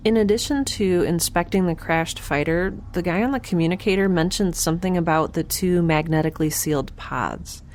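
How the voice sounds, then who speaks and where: A woman's voice: fairly low pitch, a little hiss or hum, lightly acted. A woman playing a wry, stubborn young scientist in a space audio drama, with a dry, sardonic and precise way of talking. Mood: dry, questioning. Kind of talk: audio drama acting, first-person log narration.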